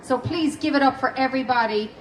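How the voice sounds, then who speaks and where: A woman's voice: sits high, fast. A woman from Dublin with an Irish accent, chatting to the crowd from an outdoor amphitheater stage before her song. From Dublin, Ireland. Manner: hypes up the crowd. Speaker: open mic performer. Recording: distant echoey live recording. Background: loud crowd and guitar music.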